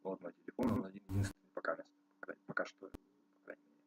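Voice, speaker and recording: A man's voice: low-pitched, steady tone, slight background noise, poor audio. A man from Russia rambling casually to his viewers, often breaking off and restarting his sentences. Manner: rambling, restarts often, slow and pondering on some stretches. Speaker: musician vlogger. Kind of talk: casual rambling talk to camera.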